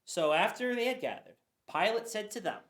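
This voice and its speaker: A woman giving a dramatic Bible reading, expressive, with occasional stumbles. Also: medium pitch, moderate pace. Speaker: scripture reader. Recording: roomy echo.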